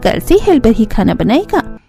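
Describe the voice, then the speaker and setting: A woman voicing a helpful young sister-in-law in a family cartoon. She has a very high, mellow voice and a welcoming, reassuring manner, with faint music behind. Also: very animated, normal speed, creaky. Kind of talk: cartoon family story dialogue.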